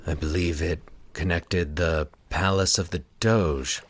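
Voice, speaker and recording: A man playing a sinister solitary man with polite, measured speech and a coldly calculating, hushed manner in a horror story. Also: deep voice, fairly even intonation, a little hiss or hum.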